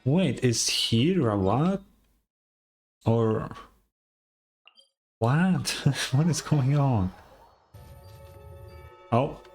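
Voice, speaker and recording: Female game streamer, extremely low pitch, unhurried, hesitant and weary, casual muttered reactions. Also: lively intonation, a little mellow, slightly rough, faint music behind.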